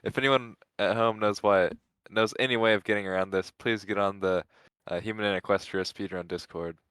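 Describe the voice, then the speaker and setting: A man co-reading a fanfic, casually explaining things with relaxed fillers. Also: on the low side, a little fast, smooth, dry recording. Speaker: co-reader and commentator.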